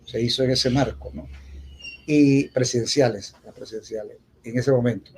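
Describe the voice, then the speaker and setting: A Venezuelan man giving an unscripted public talk, reminiscing in a halting way and restating points with emphasis. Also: medium pitch, fairly even intonation, slight background noise, low-quality recording. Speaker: poet speaking at a poetry festival.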